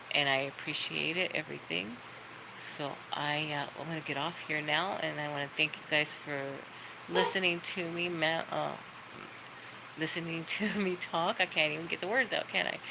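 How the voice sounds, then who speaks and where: A middle-aged woman hosts a casual livestream, rambling from one story to the next. Her voice carries a gravelly edge. Steady background noise and barking dogs fill the room behind her. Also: sits low. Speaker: livestream host. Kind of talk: casual chat to viewers.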